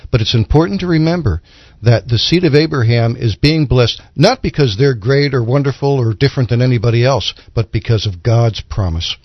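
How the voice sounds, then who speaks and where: A man with a mid-range voice explains scripture as a program guest. His delivery is measured and instructive. The recording is dry and somewhat dull. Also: mid-range voice, dry recording. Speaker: guest Bible commentator. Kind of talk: explanatory Bible teaching in conversation. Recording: dull, lo-fi broadcast audio.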